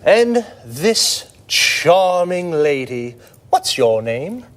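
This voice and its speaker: Man, presenter, friendly and encouraging, lively intonation, slow pace. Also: fairly high pitch. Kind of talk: scripted language-lesson presenting.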